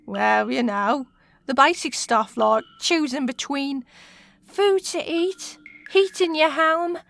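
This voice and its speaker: A woman's voice: high-pitched, takes it slowly, creaky, fairly bright tone, full character voice. A woman with an English accent playing a brash, teasing witch and jailer on the make in a comic radio sitcom, full of slang and mouthy banter. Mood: sly, wheedling.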